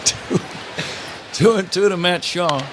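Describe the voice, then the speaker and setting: A man calling baseball play-by-play live on the radio, slipping wry, casual banter between pitch calls. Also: takes it slowly. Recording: clear ballpark noise behind. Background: outdoor stadium ambience.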